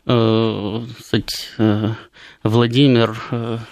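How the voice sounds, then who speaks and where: A man's voice: lowish voice, little pitch movement, drawn-out words, a little mellow, no echo. A man in his early fifties speaking as a political commentator, unscripted and wandering through asides with many hesitations. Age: early 50s. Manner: discursive, hesitant, full of long hesitations and parenthetical asides. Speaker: political commentator, guest on a talk show. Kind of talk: unscripted political analysis with anecdotes.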